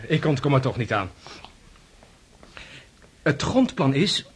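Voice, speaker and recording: An actor playing a composed young prince and soldier, a respectful son, in a radio drama. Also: male voice, medium pitch, sing-song, slow pace. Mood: earnest. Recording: clear background noise.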